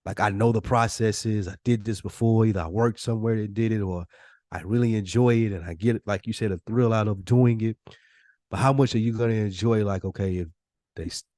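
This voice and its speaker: An American man co-hosting a podcast, telling stories in casual, animated chat with loose slang and free swearing. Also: on the low side, fairly quick, no echo, studio quality. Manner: slangy, informal, swearing freely. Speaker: podcast co-host.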